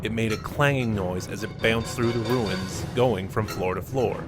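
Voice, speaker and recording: A man narrating a fan-made audio drama in a steady storytelling manner. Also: fairly low pitch, moderate pace. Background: music.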